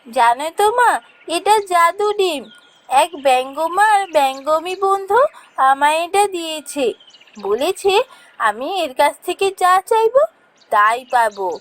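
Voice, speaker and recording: Woman voicing young cartoon village girl, eager, childlike, very high, lively. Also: a little slow, full character voice.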